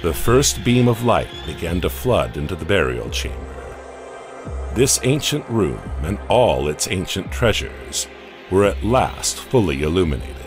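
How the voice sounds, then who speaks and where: Brief: very deep male narrator, dramatic and expressive, music under. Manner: dramatic, measured storytelling. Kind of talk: scripted horror narration.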